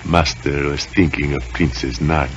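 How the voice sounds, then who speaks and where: An actor playing a giant, loyal and solemn manservant who speaks halting, deferential broken English in old-time radio style. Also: male voice, rumbling low, fairly even intonation, lo-fi sound, background noise. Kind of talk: 1940s radio acting.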